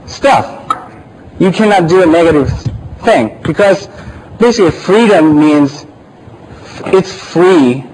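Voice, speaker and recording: A man with a Japanese accent speaks slowly and haltingly, hunting for the right English words. His voice sits a little high. Faint background noise runs under the recording. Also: a little mellow, poor audio. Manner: hesitant, searching for words. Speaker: church speaker. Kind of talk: informal church talk.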